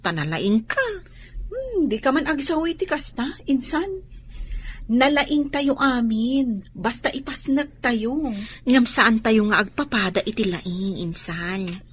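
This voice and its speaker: An excitable, teasing young student in an Ilocano radio drama. Her voice sits high and swings freely in pitch with an Ilocano accent. There is faint noise in the recording.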